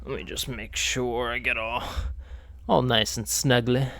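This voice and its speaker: A man's voice: mid-range voice, little pitch movement, a little slow, fairly bright tone. A man streaming a video game, chatting casually and rambling with lots of fillers, stuffed up and nasal from a cold. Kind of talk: casual gaming stream chat.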